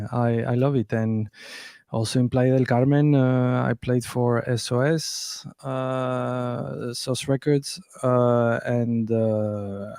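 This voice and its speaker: A man's voice: medium pitch, mellow, no room sound. A man with a French accent, a guest on a podcast, speaking casually from his home studio over a video call and rambling with many fillers. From France. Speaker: guest DJ and producer.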